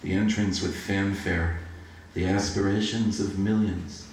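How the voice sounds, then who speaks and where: A man with a low voice reads poems aloud at a slow, measured pace. He is heard from far off in a very echoey room. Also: fairly even intonation, a little hiss or hum. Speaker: poetry reader. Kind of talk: live poetry reading at a book launch. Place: bookshop event, recorded from a distance.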